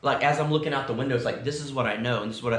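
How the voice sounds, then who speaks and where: A man's voice: medium pitch, fairly even intonation, racing, a bit bright. A man from South Texas, co-hosting a casual vlog chat from a friend's house, rambling and chatty with many fillers. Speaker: vlog co-host. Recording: roomy echo.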